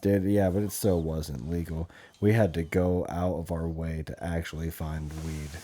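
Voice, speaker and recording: A man's voice: sits low, steady tone, normal speed, mellow, a little hiss or hum. A thirty-year-old American man chatting casually as a podcast host, in crude slang full of swearing. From Montana, USA. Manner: crude, profanity-heavy slang. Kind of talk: casual chat.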